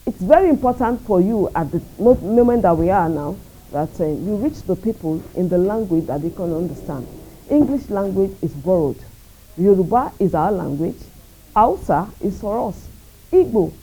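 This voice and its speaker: A Nigerian woman speaking as a radio station executive in an interview, measured, earnest and proud. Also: mid-range voice, lively intonation, warm tone, a little hiss or hum, rough recording. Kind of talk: interview answers.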